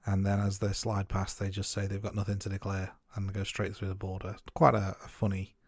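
Brief: male podcast co-host, lowish voice, dry close sound, steady scene recap. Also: brisk, slightly rough, dry recording. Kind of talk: casual film review chat.

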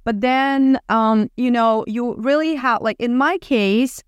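A woman from Ukraine in her thirties with a Ukrainian accent, talking casually as a podcast guest with lively restarts and fillers. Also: a little high, slow pace, smooth, no room sound. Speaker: guest entrepreneur. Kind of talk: casual interview talk.

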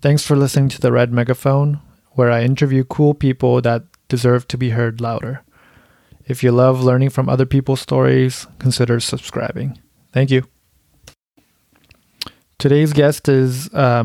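A man's voice: mid-range voice, little pitch movement, normal speed, vocal fry, warm tone, no echo, studio quality. A man hosting a podcast, interviewing a guest in an easygoing way and laughing along. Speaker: podcast host.

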